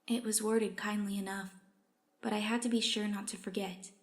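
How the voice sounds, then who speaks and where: Woman as story reader, medium-pitched, resigned first-person narration of a put-upon underling. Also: fairly even intonation, moderate pace, natural voice. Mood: subdued, resigned.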